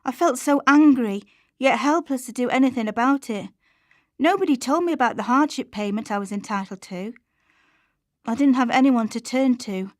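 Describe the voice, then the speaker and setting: A woman's voice: on the high side, a little mellow, dry recording, clean studio sound, close to an everyday voice. A woman playing Chantelle, an anxious struggling mother confiding in a radio play monologue. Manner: confiding, plain-spoken, colloquial.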